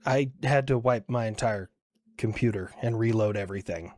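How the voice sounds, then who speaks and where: A man's voice: on the low side, normal speed, darkish tone, no room sound. A man delivering conversational satirical news commentary in a blunt, profane manner, as the creator of a comedy skit.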